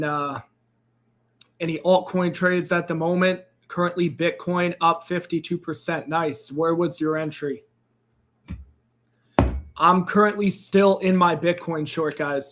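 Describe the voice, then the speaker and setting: Slightly raspy upbeat man hosting a stream. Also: a little high, a bit bright. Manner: upbeat, greets viewers by name, filler-heavy. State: slightly hoarse, recovering from a lost voice. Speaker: crypto trading livestream host. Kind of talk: casual livestream chat.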